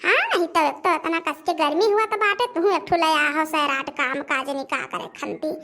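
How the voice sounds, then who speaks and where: A performer voicing a grumbling old village mother-in-law in a cartoon, with an Awadhi accent and a complaining, drawn-out delivery. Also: sits high, sharp tone. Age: older. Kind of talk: homemade cartoon dialogue in rural dialect.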